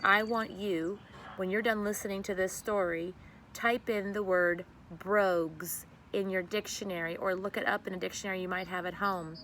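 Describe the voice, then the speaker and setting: Expressive woman reading aloud, noisy background. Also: mid-range voice, moderate pace. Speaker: teacher reading to a class. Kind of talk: expressive read-aloud storytelling to children.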